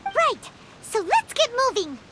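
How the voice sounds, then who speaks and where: A woman voicing a tiny, childlike fairy companion in a video game, squeaky, excitable, curious and eager. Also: a little slow, background noise, heavily acted. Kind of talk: scripted video game dialogue. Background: game music.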